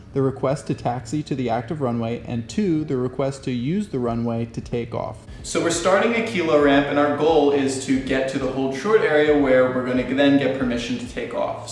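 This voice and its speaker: An American man in his twenties giving an instructional explanation to camera as a student pilot, recorded in a living room. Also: mid-range voice. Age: 20s. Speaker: student pilot presenting a tutorial. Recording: roomy, echoey, distant, faint noise.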